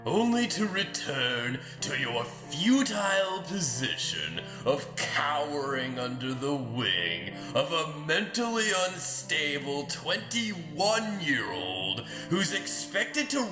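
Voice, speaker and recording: A man's voice: fairly low pitch, sing-song, extremely slow, heavily acted. A man playing a vengeful tyrant lord and former soldier, taunting and mocking in formal, theatrical audio drama speech. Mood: taunting, menacing. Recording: roomy echo, music underneath. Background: music.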